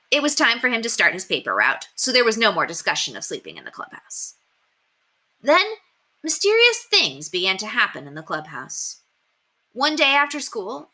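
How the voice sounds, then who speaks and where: A woman reads a children's novel aloud with an animated delivery. Her tone is strikingly bright and her pitch moves freely, giving the narration an emphatic storytelling shape. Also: moderate pace. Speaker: story reader.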